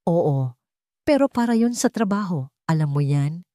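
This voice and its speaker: A woman's voice: on the low side, lively intonation, measured pace, dark tone, no room sound, clean studio sound. A woman voicing a desperate wife who is wrongly accused, pleading emotionally in a dramatic audio story. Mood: tearful protest.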